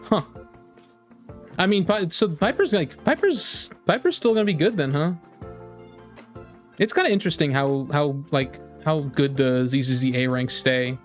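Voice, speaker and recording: A man's voice: on the high side, animated, normal speed, long pauses, faint music behind. A man streaming about games in a casual, rambling chat, often repeating his phrases as he talks to viewers. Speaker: game streamer.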